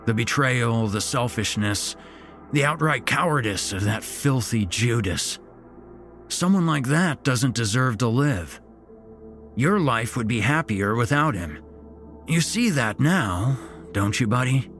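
A man's voice: medium pitch, expressive, unhurried, a bit bright, faint music behind, voice pushed up. A man voicing a sinister, falsely cheerful old grandfather with a folksy, chipper manner that turns menacing. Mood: sorrowful coaxing.

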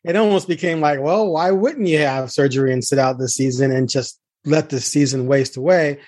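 A man with a fairly high voice hosts a sports podcast in a casual, conversational way. He talks like a beat reporter chatting with a guest. The sound is dry, with little room to it. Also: normal speed, a little mellow, no echo.

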